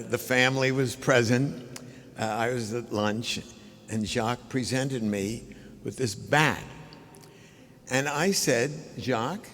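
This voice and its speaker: An older man giving an anecdotal tribute speech at a ceremony, in a measured, halting way with pauses. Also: medium pitch. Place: large indoor ceremonial hall. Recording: reverberant large hall.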